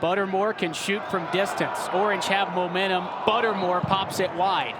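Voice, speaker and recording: A man's voice: sits high, fairly even intonation, normal speed, over a noisy crowd, very noisy. A man in his twenties working as a sports broadcaster, hosting and calling play-by-play. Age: 20s.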